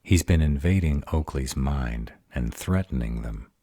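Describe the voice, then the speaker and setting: A man playing a protective, grumpy were-dragon boyfriend in a fantasy audio drama, gruff but deferential to authority. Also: very deep voice, animated, unhurried, dark tone, dry recording, studio quality, full character voice. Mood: serious accusation.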